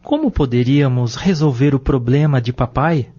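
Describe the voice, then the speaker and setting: A man's voice: mid-range voice, moderate pace, very noisy, close to an everyday voice. A man playing a curious, respectful young man in an audio novel, with a Brazilian accent. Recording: dull lo-fi audio drama recording.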